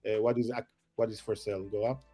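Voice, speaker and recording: A man chatting as a guest, excitable and rambling, self-mocking, with non-native English phrasing. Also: on the low side, fast, soft background music. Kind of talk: casual chat.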